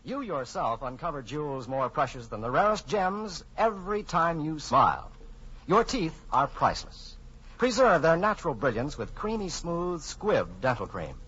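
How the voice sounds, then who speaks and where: A man's voice: a little high, sing-song, moderate pace, fairly bright tone, slightly rough. A man announcing on 1940s radio, delivering scripted copy with polished, emphatic salesmanship. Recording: muffled 1940s radio broadcast, faint music.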